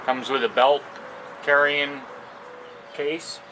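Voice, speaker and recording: A man giving a casual, unscripted product demo outdoors, talking in a plain-spoken, halting way. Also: fairly high pitch, level tone. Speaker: amateur product reviewer. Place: outdoors on a porch. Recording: noisy outdoor recording with ambient sounds.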